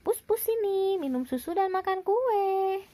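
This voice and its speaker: Woman reading as friendly storybook neighbour lady, high voice. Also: animated, unhurried, dark tone, no room sound.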